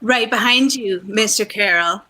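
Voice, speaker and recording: An actress playing a poised, clever detective's wife, coolly wry and teasing, in 1940s radio drama style. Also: female voice, mid-range voice, a little slow, bright, forceful tone, a little hiss or hum. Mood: coolly triumphant. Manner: coolly wry, matter-of-fact.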